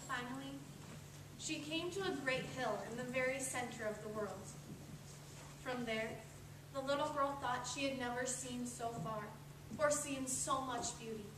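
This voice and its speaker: A woman narrating a storybook in readers theatre style, with deliberate, dramatic storytelling. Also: high-pitched. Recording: echoey room, distant mic, clear noise.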